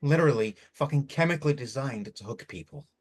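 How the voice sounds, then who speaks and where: An actor playing a bitter, worn-out addict in despair in a drama reading, agitated, defensive and stumbling over words. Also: male voice, medium pitch, animated, fast, natural voice. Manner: agitated, defensive, stammering, swearing. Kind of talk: script reading of a stage drama.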